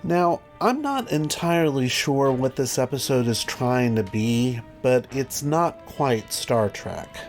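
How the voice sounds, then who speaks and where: A man's voice: medium pitch, lively intonation, slow pace, hardly any pauses. A man presenting a scripted video review as host, with wry, dry asides. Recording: music behind the voice. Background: background music.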